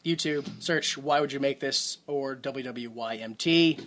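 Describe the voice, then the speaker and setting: A man's voice: mid-range voice, measured pace, fairly bright tone. Rambling, chuckling male podcast host. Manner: rambling, laughs at his own jokes. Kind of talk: casual podcast chat.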